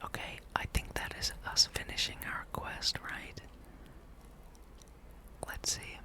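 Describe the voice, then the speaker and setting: Whispering ASMR gamer, gently rambling. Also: slow pace. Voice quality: breathy, unvoiced whisper. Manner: gentle, rambling, musing aloud. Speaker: gamer and video host.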